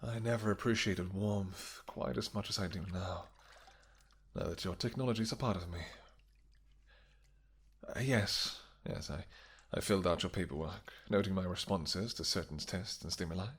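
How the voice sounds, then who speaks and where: A man playing a tender, regal vampire king in a romantic fantasy audio roleplay, speaking softly and courteously with a halting delivery. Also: low voice, slightly rough. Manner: soft, courtly, hesitant, halting phrasing. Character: tender male vampire king, winged, regal and devoted lover.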